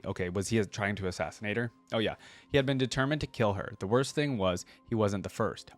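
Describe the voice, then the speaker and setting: A man hosting a reaction video, reading lore aloud and commenting in an informal, exclamatory way. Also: on the low side. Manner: informal, filler-heavy, exclamatory asides.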